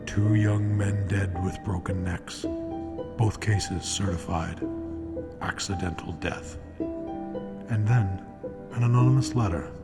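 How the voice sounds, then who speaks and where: An actor as an ominous, knowing true-crime storyteller, narrating a radio mystery with wry, deliberate phrasing. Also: male voice, lowish voice, fairly even intonation. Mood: wry foreboding. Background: music.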